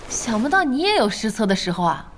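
An eager young gamer. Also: female voice, on the high side, sing-song, fast talker, a bit bright, slight background noise. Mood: impressed. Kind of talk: studio radio drama acting. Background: background music.

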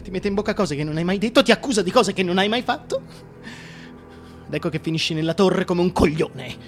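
A scheming would-be king in a theatrical radio monologue, with a high-pitched voice. He is bitter and sardonic, moving between hushed musing and explosive contempt, with faint music behind him. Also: lively intonation, brisk. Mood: sardonic, exasperated. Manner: sardonic, volatile, swinging from hushed musing to outbursts.

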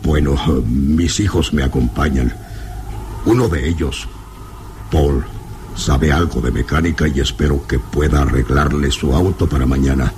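An actor playing a surly, fearful rural man who turns gruff or stammers servilely, in radio serial style. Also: a bass voice, measured pace, a little mellow. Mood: servile, nervous. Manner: gruff when refusing, stammering when deferential. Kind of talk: old Spanish-language radio serial acting. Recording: noisy old radio serial recording.

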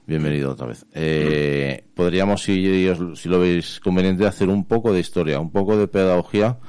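A man's voice: sits low, moderate pace, a little mellow, smooth, dry recording. A man from Spain hosting a radio interview in a conversational, informal and rambling way, often checking for agreement. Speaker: radio host. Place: radio studio.

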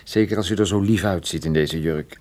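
An actor playing a wounded, irascible and flirtatious private detective in a radio play, with a sarcastic, grumbling delivery. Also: male voice, low voice, brisk, darkish tone, natural voice. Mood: tender, flirtatious.

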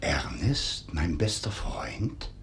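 A dry, sceptical husband in a radio play. His voice is low yet very mobile in pitch, dismissive and incredulous. A faint rumble sits behind it. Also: sing-song, slow, fairly bright tone, heavily acted. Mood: stunned. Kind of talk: German radio play acting.

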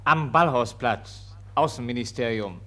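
An actor as a formal scene announcer, declaiming deliberately in a 1940s radio play. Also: male voice, mid-range voice, close to an everyday voice.

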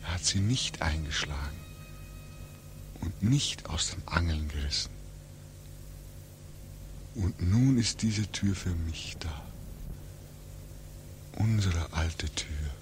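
An actor playing a homesick, brooding young man back from war in a 1940s radio drama, with halting, repetitive, anguished speech. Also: sits low, animated, slight background noise. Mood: hushed, hopeful. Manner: halting, repetitive, swinging into agitated questioning. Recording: 1940s radio recording, music behind.

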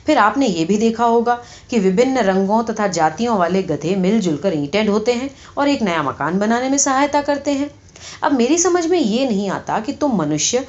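A woman's voice: on the high side, darkish tone, close to an everyday voice. Woman acting a talking male donkey, storybook satire, earnest, mock-pompous, formal speechmaking, slightly muffled recording. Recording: slightly dull, lo-fi audio.

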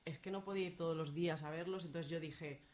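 A woman's voice: low-pitched, fairly even intonation, quick pace, a little mellow. A woman from La Mancha with a Manchegan Spanish accent, telling a personal story in casual radio chat, emotional and rambling. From La Mancha, Spain.

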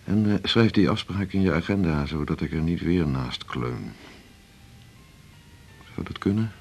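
A man's voice: low voice, a little slow, vocal fry, faint music behind. An actor playing a cynical, soured male doctor in a radio drama, with dry, blunt, clipped delivery. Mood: dry, businesslike. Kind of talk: 1980s Dutch radio drama acting.

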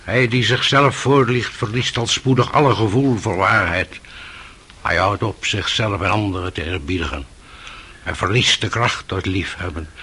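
Husky, solemn old monk. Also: male voice, low voice, sharp tone, heavily acted. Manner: solemn, measured preaching. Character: grave, kindly, admonishing old holy monk. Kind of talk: radio play acting.

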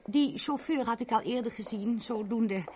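A fairly high female voice on an old, faintly noisy radio recording, played by an actress. She is a polite, helpful clerk who explains things courteously with small hesitations. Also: normal speed, darkish tone, lightly acted. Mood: helpful, explanatory. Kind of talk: 1970s Dutch radio drama acting.

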